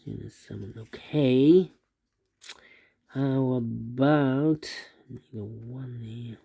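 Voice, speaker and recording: A man with a dark, gravelly voice teaches a puzzle solve at his desk. He thinks aloud in a steady, methodical way, repeating pairs of numbers under his breath as he goes. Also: medium pitch, very slow. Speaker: sudoku instructor.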